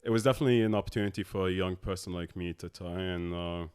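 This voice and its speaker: A man in his thirties from Abu Dhabi, a tech co-founder talking as a podcast guest in a halting way with many filler sounds. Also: low-pitched, a little mellow, clear, resonant tone. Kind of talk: casual interview talk.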